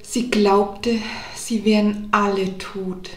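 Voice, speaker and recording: Very slow woman storyteller, echoey sound. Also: medium pitch, little pitch movement. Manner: deliberate, with pauses between phrases. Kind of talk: scripted fairy tale narration for children.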